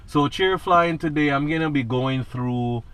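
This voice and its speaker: A man's voice: on the high side, measured pace, fairly bright tone, clean tone, low-quality recording. A man with a Jamaican accent, a student pilot talking through a cockpit checklist in a casual instructional vlog and often asking "right?". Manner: talks through a checklist, tagging lines with "right?". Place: inside a small airplane cockpit on the ground.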